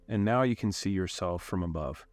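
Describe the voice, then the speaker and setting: A man leading a guided meditation in a calm, unhurried way, giving gentle instructions with long pauses. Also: low voice, no room sound.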